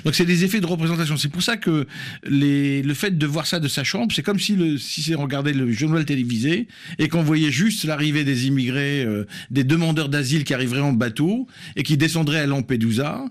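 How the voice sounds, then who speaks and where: A man's voice: fairly high pitch, brisk. Analytical man explaining, emphatic repetitions. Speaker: academic researcher, interview guest. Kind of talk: spontaneous explanatory interview.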